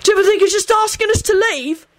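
A woman's voice: on the low side, big pitch swings, normal speed, dry recording. A woman voicing a defiant, sweary, earnest young male wizard with a blunt, persuasive way of talking, in an audiobook story. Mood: defiant, incredulous.

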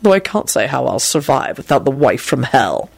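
A woman's voice: very deep voice, sing-song, moderate pace, gravelly, husky, no room sound, voice pushed down, full character voice. A woman voicing a sarcastic man in an audiobook story, curt and dispassionate. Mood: dry, sarcastic. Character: deadpan, bitingly sarcastic man.

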